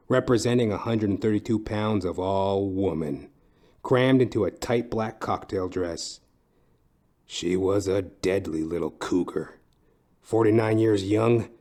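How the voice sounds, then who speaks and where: A man plays a hardboiled, down-at-heel private detective in a comic noir audio play. His voice sits fairly low, and he delivers his wry lines deadpan, with measured dramatic pauses.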